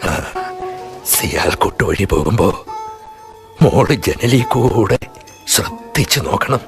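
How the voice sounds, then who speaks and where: Brief: a man voicing a slow, grave old grandfather in a radio drama, faint music underneath. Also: lowish voice, animated, gravelly, full character voice. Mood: wistful. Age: older. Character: grieving elderly male partition survivor, grandfather.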